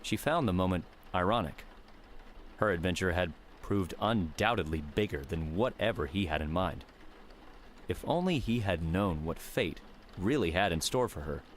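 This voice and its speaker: Deep-voiced male audiobook narrator. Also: animated, moderate pace, a little hiss or hum.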